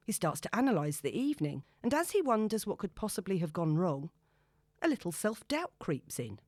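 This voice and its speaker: A woman narrating a novel in a wry, expressive storytelling manner. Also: lowish voice, brisk, no echo, clean studio sound. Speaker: audiobook narrator.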